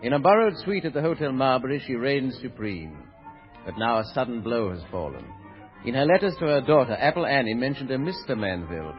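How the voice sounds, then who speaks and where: A man narrating a scripted 1930s radio drama as its presenter. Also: medium pitch. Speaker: narrator and guest producer. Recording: muffled old radio recording. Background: music.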